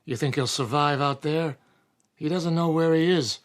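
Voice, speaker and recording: An actor playing a firm, rational small-town doctor in a radio drama, measured and authoritative as he explains. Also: on the high side, close to an everyday voice. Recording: music under the voice.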